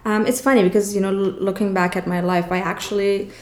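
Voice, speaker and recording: A woman from Iran, an artist guest in an interview, speaking casually and hesitantly with many pauses and restarts. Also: medium pitch, little pitch movement. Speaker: guest, artist and hat designer. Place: studio surrounded by hats. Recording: roomy echo.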